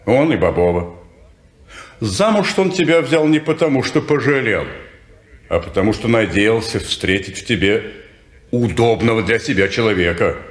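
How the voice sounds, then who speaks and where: A sardonic cynical ex-lover. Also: male voice, on the low side, roomy sound. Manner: dry, sardonic. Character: cynical detached man, former lover.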